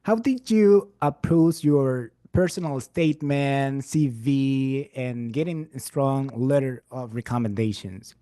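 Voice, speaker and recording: A man's voice: medium pitch, slow pace, hardly any pauses, warm tone, dry recording. A man who is a resident physician hosts an interview, upbeat, often repeating his words of praise. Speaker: interviewer, program ambassador. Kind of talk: scripted-sounding interview hosting.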